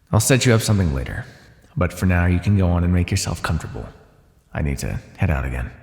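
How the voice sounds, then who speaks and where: A man's voice: sits low, vocal fry, a little mellow. A man voicing a bored, teasing, menacing naga predator in an ASMR fantasy roleplay, speaking with casual, dry condescension. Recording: echoey, long cave-like reverb.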